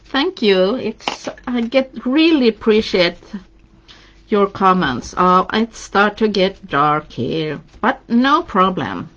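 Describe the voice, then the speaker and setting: A woman's voice: mid-range voice, sing-song, takes it slowly, fairly bright tone, dull audio. A woman with a Swedish accent, a Swedish native speaker, giving a live craft tutorial and chatting encouragingly while she paints. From Sweden. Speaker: craft demonstrator. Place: indoors at a craft table.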